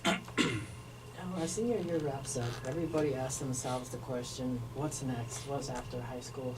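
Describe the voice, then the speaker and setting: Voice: mid-range voice, normal speed. A radio interviewer puts simple, direct questions to his guest in a middling voice. The voice sits well back from the microphone, with a constant noisy hiss around it. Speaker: radio host. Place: radio studio. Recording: distant mic with background noise.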